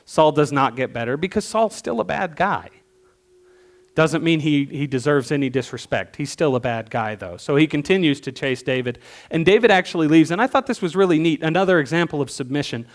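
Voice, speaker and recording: A man giving a sermon as worship leader, teaching in a conversational way, asking questions and swinging between emphatic bursts and quiet asides. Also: a little high, lively intonation, a little fast.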